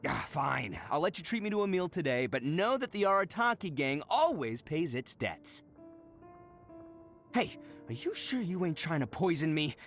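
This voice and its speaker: A loudmouthed young male oni gang boss from a video game. The voice sits high and leaps around theatrically, with a bright, forceful edge. He is slangy, boastful and cocky, yet big-hearted. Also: big pitch swings, moderate pace, faint music behind. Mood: cheerful. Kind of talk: video game character voice acting.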